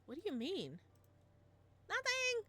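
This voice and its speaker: A woman voicing a flustered young single father in a visual novel, stammering when nervous, with lively swings in pitch. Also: takes it slowly, heavily acted. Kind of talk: reading a visual novel aloud.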